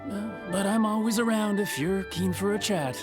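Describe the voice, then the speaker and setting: An actor playing a friendly, chatty old village carpenter in a video game who chuckles easily. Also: male voice, sits high, normal speed, natural voice. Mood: genial. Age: older. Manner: chuckling, easygoing. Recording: game music behind.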